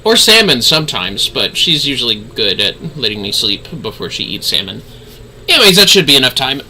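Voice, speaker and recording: Male game streamer, very bright lively voice, easygoing and chatty, slight background noise. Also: medium pitch, a little fast, low-quality recording. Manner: easygoing, chatty, calls viewers fellas. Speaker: livestreaming gamer host. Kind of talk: casual gaming livestream chat.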